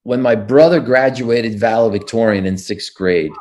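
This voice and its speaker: A man's voice: mid-range voice, normal speed, darkish tone. An older man, recounting his childhood in an oral history interview, with frequent fillers and restarts. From United States.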